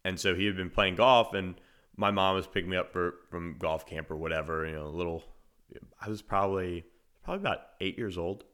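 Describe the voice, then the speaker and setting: Deep-voiced man hosting a sports podcast. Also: a little fast, fairly bright tone, smooth. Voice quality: naturally deep voice. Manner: conversational, analytical, frequent verbal restarts. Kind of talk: solo podcast commentary and storytelling.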